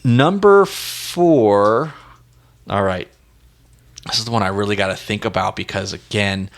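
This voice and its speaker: A man's voice: fairly low pitch, unhurried, gravelly. A man co-hosting a casual music discussion, talking in a hesitant, self-deprecating, indecisive way. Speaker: music podcast co-host.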